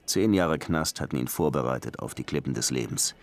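An actor playing a hard-boiled, short-tempered Cuban police detective who also narrates a German radio crime drama in a dry, sardonic way. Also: male voice, rumbling low, expressive, a little fast. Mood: dry, reflective. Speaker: detective and first-person narrator.

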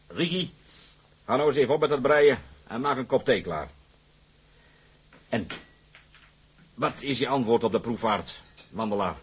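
An actor playing a commanding, shrewd old skipper in a Dutch period drama, speaking gruffly with hesitations and throat-clearing. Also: male voice, mid-range voice, slow, long pauses, a bit bright, full character voice. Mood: gruff, businesslike. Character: commanding old skipper, shrewd but generous boat owner.

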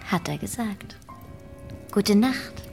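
An actress playing a composed, spirited baroness in a German radio drama, deliberate and dryly firm. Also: female voice, medium pitch, expressive, gravelly, over music.

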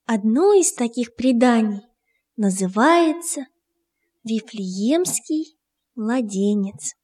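A woman narrating a children's tale in a measured, expressive literary reading. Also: on the high side. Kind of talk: scripted storytelling for children. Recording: roomy reverb, faint music behind.

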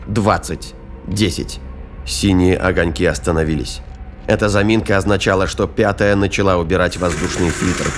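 A male narrator with a low voice reads a science-fiction thriller in a dramatic, scripted style. Faint noise sits behind him.

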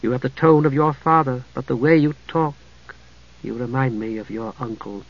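A man voicing a serene, noble blind high priestess in an audiobook, speaking softly and hushed. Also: fairly even intonation, a little fast, highs cut off, lightly acted.

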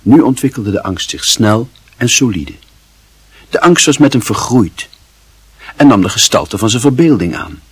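Husky male storyteller with expressive delivery. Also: mid-range voice, normal speed, long pauses, no room sound. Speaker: narrator. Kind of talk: dramatic scripted literary narration.

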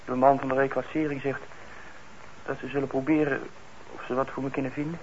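Actor as defensive young man, halting and stammering. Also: mid-range voice, flat delivery, a little mellow, natural voice. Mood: downcast. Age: young adult. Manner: halting, stammering when upset. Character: troubled young male parolee, defensive and hesitant. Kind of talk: radio drama acting. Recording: dull, noisy old radio recording.

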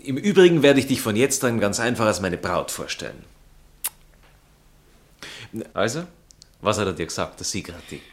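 Lively, snobbish Austrian gentleman. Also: on the low side, a little fast. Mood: patronising. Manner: condescending, drawling, nonchalant. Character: snobbish, sporty upper-class gentleman, condescending fiancé. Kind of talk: 1960s German-language radio play acting.

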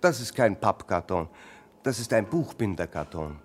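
An actor playing a matter-of-fact paper factory manager in a German radio crime drama, explaining things dryly. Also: male voice, fairly low pitch, big pitch swings, moderate pace, a little hiss or hum.

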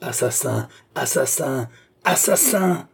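A man's voice: lowish voice, normal speed, mellow, slightly rough. A man voicing a proud young noblewoman, outraged and scornful, in a theatrical audiobook story. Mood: furious. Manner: exclamatory, haughty, theatrical.

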